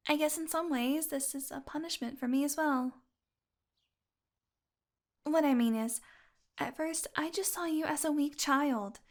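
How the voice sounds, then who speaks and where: A woman playing a possessive fantasy healer in an audio roleplay, tender and secretly bloodthirsty, speaking softly and deliberately. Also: high voice. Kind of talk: intimate audio roleplay monologue.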